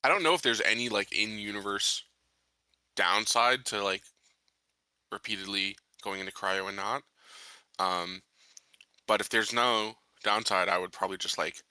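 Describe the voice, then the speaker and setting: Bright, punchy, low-pitched man, close and dry. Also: dry recording. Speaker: player in a tabletop roleplaying game. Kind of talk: casual tabletop roleplay discussion.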